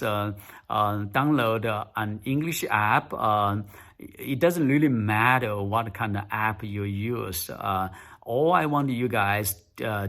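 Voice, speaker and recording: Halting man coaching spoken English. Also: fairly low pitch, a bit bright. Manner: halting, many fillers, repeats words for emphasis. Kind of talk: casual spoken tutorial.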